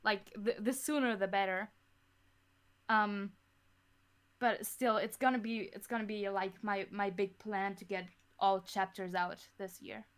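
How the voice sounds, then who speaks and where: A woman's voice: medium pitch, steady tone, unhurried, smooth. A woman whose first language is German, chatting casually as a guest on a podcast. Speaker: podcast guest, audio drama creator. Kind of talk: casual chat.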